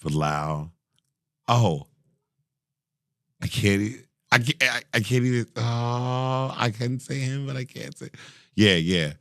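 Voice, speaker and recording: A man in his forties with a deep voice, a singer talking as a podcast guest in casual chat, animated, laughing and often restarting his sentences. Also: takes it slowly, pauses often, no room sound, clean studio sound.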